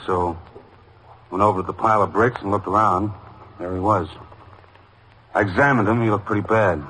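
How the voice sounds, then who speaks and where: A man's voice: on the low side, pushed and bright. An American actor playing an earnest, defensive patrolman in a 1950s radio drama, clipped and insistent in his dutiful answers. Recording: noisy old radio drama recording.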